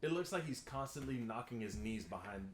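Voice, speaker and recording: A man's voice: mid-range voice, expressive, brisk. A man taking part in a podcast, chatting casually in short remarks. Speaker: podcast participant. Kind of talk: casual chat about movies.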